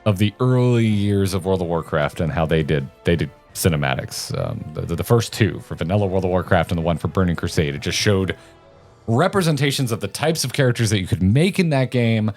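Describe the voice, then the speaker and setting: Low-voiced enthusiastic man, casual gaming commentary. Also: lively intonation, fairly quick, darkish tone. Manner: enthusiastic, slangy. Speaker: co-host of a gaming reaction show. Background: music behind the voice.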